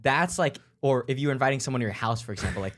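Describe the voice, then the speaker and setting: A young man with a medium pitch co-hosts a casual podcast chat. His voice rises and falls in a lively way as he thinks aloud and asks questions, with plenty of fillers along the way. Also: very fast. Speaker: podcast co-host.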